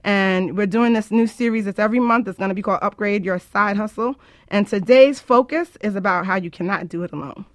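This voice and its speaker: A woman's voice: mid-range voice, brisk, no room sound, clean studio sound. A woman hosting an informal internet radio show, chatting to listeners in an upbeat, emphatic way.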